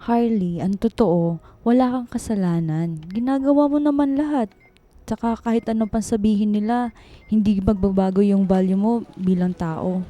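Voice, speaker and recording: A woman's voice: medium pitch, normal speed, dark tone, natural voice. A woman playing a supportive, protective office friend, consoling and loyal, in a radio drama. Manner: consoling, indignant on friend's behalf.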